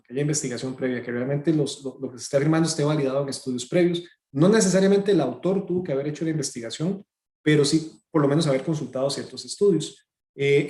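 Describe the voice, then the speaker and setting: A man answers questions in a webinar at a rapid clip, tripping over and repeating his words as he goes. His voice sits a little high with a soft, mellow tone. The sound has a roomy echo, as if heard through a video call from a bare room. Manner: fast, hesitant, with many repeated words. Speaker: webinar speaker.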